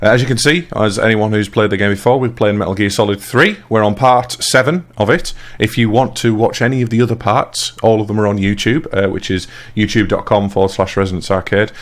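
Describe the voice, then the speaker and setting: A British man with a fairly low voice hosts a let's play. His delivery is casual, unscripted live commentary. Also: a little fast, hardly any pauses. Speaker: let's play host and player.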